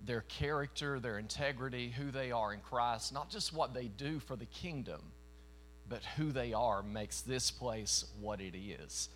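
Southern preacher from East Tennessee. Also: male voice, mid-range voice, unhurried, a little hiss or hum. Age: 40s. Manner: folksy, emphatic, bursts of louder preaching. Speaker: traveling preacher. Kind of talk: sermon. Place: church meeting in front of a congregation.

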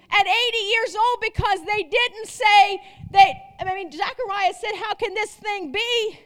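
Very high-voiced woman preaching a sermon. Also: fairly bright tone. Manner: emphatic, repeats phrases, rhetorical questions to congregation.

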